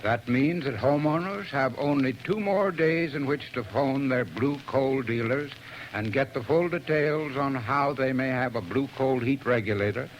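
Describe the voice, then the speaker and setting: A man's voice: medium pitch, slight background noise. A man giving an earnest, folksy 1940s radio advertisement. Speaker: advertising spokesman. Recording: dull old radio broadcast.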